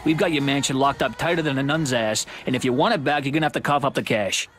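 Man playing a fast-talking, smug crooked cop. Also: medium pitch, a bit bright, soft background music, close to an everyday voice. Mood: smug threat. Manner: taunting, sarcastic. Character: smug, menacing corrupt male vice cop. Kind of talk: video game cutscene acting.

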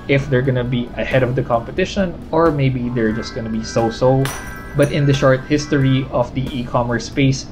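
A Filipino man in his thirties talks through a stock review in a candid, conversational way, recorded on a camera a short distance away in his living room. His voice sits in a middle pitch, and background music plays clearly beneath him. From Philippines. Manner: candid, conversational, gestures while explaining. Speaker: finance vlogger.

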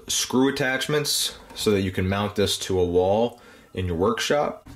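A man's voice: deep voice, very noisy. A man giving a casual, plainspoken tool review, with frequent ums and uhs. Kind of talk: casual product review voice-over.

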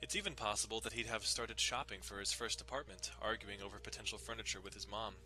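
Young man, very bright forceful low voice, dramatic visual novel narration, casual irreverent streak, music underneath. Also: steady tone, fast talker. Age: young adult. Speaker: dramatic reader. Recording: music behind the voice.

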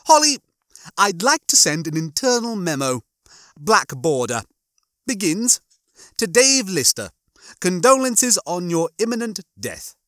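A man performs a pompous storybook hologram who loves to gloat. The voice is pitched high and swoops theatrically, drawing words out with sneering, mock-cheerful relish in a bright, forceful tone. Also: sing-song, a little slow, pauses often, no echo, studio quality, full character voice. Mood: gloating, smug. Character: smug, gloating, pompous male hologram bunkmate.

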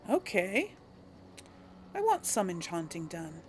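A woman's voice: on the low side, huge pitch swings, slow pace, a little hiss or hum. A woman casually commenting on a game she plays, musing and thinking aloud. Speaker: gaming video host and player. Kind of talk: casual let's play commentary.

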